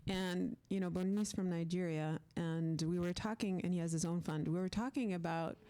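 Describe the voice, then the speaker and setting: A woman hosting an onstage interview, speaking conversationally and warmly agreeing with her guest. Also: fairly low pitch, steady tone, moderate pace, clear, resonant tone. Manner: conversational, agreeing with brief affirmations. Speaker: host, interviewer.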